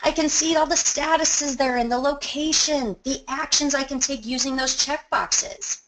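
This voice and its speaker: A woman presenting a software training webinar, explaining each step in a conversational, instructional way and stressing key words. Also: sits high, moderate pace, low-quality recording. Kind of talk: instructional screen-share walkthrough.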